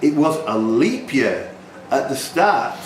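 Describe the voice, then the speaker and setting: A man's voice: medium pitch, very animated, takes it slowly. A man hosting a live pub quiz, reading questions and scores with bantering, self-deprecating, hesitant asides. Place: pub function room, indoors. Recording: roomy pub sound with background noise.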